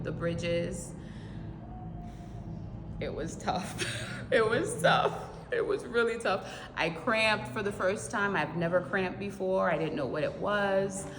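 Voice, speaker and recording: A woman from Haiti with a fairly low voice talks in an animated way about running and laughs often. The recording is echoey with a long reverb tail, and loud ambient noise sits behind her. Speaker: marathon runner, interviewee. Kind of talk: casual interview.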